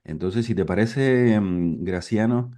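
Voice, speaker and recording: A man's voice: fairly low pitch, slow, mellow. A man hosting an interview program, enthusiastic, filling the talk with affirmations and tag questions. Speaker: program host.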